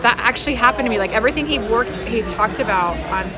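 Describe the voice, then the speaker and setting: Female interview guest, fairly high voice, chatty personal storytelling that voices quoted exchanges, music and crowd behind. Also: lively intonation, quick pace. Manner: chatty, acts out quoted dialogue. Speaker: interview guest, editor in training. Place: busy public convention space. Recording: busy public space with loud music, chatter.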